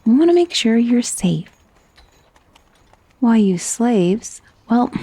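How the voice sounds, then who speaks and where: Woman acting as genteel vampire mistress, breathy, mellow, hushed and soothing, possessive, close dry ASMR roleplay. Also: mid-range voice, no room sound, full character voice. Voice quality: soft, breathy. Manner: soothing, genteel, hushed, often slipping into whisper. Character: gentle, wealthy, possessive female vampire mistress, centuries-old undead. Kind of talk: ASMR roleplay, intimate soft-spoken monologue. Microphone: close, dry microphone.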